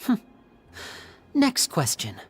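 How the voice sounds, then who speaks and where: A woman's voice: slow, natural voice. An actress playing a composed woman investigator who questions a suspect in a video game, in a cool, probing manner. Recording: faint music behind.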